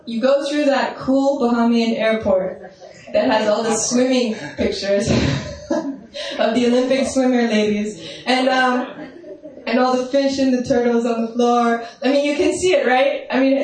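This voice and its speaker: A woman's voice: on the high side, a little mellow, far from the mic. A woman teaching meditation with a strong, carrying voice, guiding listeners through visualizations and often checking in with them. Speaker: spiritual teacher. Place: large echoey meditation hall. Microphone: speaking without a microphone. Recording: roomy hall echo, voice off-mic.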